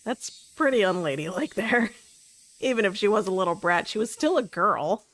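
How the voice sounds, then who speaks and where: A woman's voice: mid-range voice, animated, normal speed, fairly bright tone. A woman live streaming, reading a story game aloud and chatting in a playful manner.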